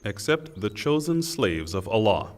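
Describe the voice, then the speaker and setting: A man reading a scripture translation in a solemn, measured and deliberate way. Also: on the low side, sing-song. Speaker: translation reader. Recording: long hall reverb.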